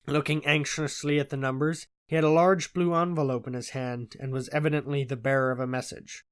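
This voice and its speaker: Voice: mid-range voice, fairly even intonation, fairly quick, clear, resonant tone. An audiobook reader giving scripted first-person narration of a story.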